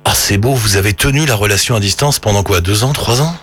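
A man's voice: lowish voice, fast, a bit bright, slightly rough. A man interviewing a guest in a chatty, exclamatory way, often leading with his own opinions. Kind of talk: casual interview.

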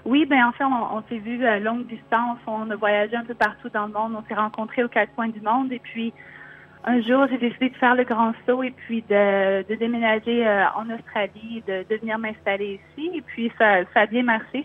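A woman's voice: a little high, fairly even intonation, a little fast. A woman from Quebec with a Québécois accent, talking as a guest over the phone in a friendly, hesitant way. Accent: Québécois French. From Quebec, Canada. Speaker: caller and guest. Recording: tinny phone line.

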